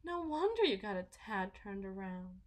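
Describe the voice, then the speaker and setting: A woman playing a delusional, condescending, possessive wealthy mother in a theatrical ASMR roleplay, phrasing everything in a drawn-out, deliberate way. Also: medium pitch, very animated, close to an everyday voice. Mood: condescending, chiding. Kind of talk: ASMR roleplay, dramatic monologue.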